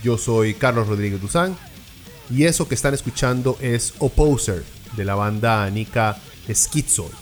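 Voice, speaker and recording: This is a man from Peru hosting a podcast of political commentary. His delivery is blunt and cutting, with many small fillers, at a middle pitch. Soft music plays faintly behind him. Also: a little slow. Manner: blunt, sarcastic, talks with frequent fillers. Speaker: podcast host.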